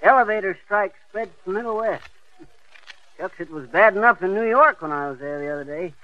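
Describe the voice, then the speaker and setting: An actor with an American accent playing a folksy, boastful, wisecracking husband in a 1930s radio comedy. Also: male voice, high voice, a little fast, close to an everyday voice. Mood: folksy, chatty. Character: jokey, boastful husband, a folksy comic storyteller. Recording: muffled, noisy 1930s radio recording.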